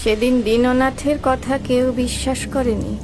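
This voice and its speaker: A woman playing a storyteller who narrates a dark village tale dramatically in a cartoon. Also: high-pitched, fairly even intonation, normal speed, music in the background, lightly acted. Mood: grave, ominous.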